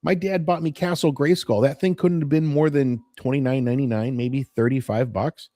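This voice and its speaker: A man's voice: mid-range voice, steady tone, normal speed, mellow, no echo. Guy in his late 40s rambling casually to his stream, nostalgic, dead dry sound. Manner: chatty, nostalgic, wanders off topic. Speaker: host of a morning live stream. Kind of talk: casual rambling chat to viewers.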